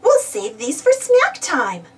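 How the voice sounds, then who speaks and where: A woman's voice: very high voice, huge pitch swings, slow pace, far from the mic, voice pushed up, heavily acted. A woman voicing a kindly, cheerful preschool teacher in a storybook, encouraging and sing-song.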